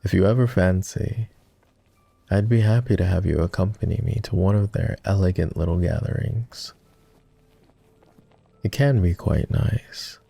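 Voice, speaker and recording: A man playing a flirty, courtly vampire aristocrat in an intimate roleplay ASMR, with refined, formal, teasing speech in a low, gravelly murmur. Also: a little slow, long pauses, mellow, no room sound, soft background music, heavily acted. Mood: weary. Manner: refined, formal diction with playful teasing and sighs.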